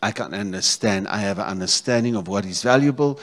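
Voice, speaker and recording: Male South African preacher, fifties, lowish voice, repetitive emphatic phrasing, sermon delivery on a handheld mic. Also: brisk, a bit bright. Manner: repeats phrases, checks in with congregation. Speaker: pastor preaching. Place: church stage, indoors. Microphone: handheld microphone.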